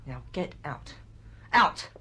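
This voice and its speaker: A man's voice: takes it slowly, pushed and bright. An actor playing an angry, protective man in a radio drama, stern and commanding.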